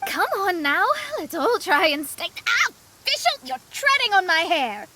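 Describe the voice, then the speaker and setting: A woman's voice: very high voice, sing-song, measured pace, bright, forceful tone, full character voice. A woman playing a proud young astrologer mage, theatrical and easily flustered, in an anime game. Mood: pained.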